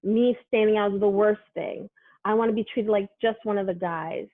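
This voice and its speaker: A woman's voice: mid-range voice, moderate pace, no room sound, narrow, tinny audio. A sports reporter from Brooklyn, a woman, talking casually in an interview, full of "like" and "you know". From Brooklyn, New York. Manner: chatty, animated, many fillers like "like" and "you know".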